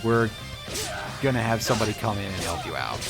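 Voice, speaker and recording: Wry male game streamer, lively delivery. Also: fairly low pitch, fairly quick, gravelly. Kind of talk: casual live game commentary. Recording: game music behind the voice. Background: video game music and effects.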